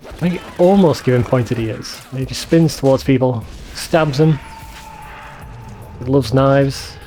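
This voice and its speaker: A man's voice: medium pitch, a little slow, mellow, soft background music. A man hosting a let's play, giving casual game commentary with wry, sweary asides.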